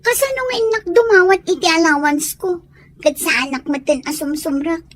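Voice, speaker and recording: A woman's voice: extremely high pitch, moderate pace, fairly bright tone. A woman playing an anxious, sulky young pregnant student girlfriend in an audio drama. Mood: worried, reproachful. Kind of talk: Ilocano audio drama acting.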